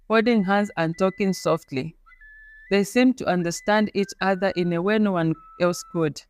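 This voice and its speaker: A woman narrates a scripted folktale as a storyteller. Her pitch sits in the middle range for a woman. She tells it plainly in short, simple sentences, with music playing behind her voice.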